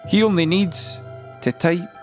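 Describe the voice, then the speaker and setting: A man narrating a scripted audio play as a storyteller, with deliberate phrasing and dramatic pauses, over a musical score. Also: high voice, steady tone, soft background music.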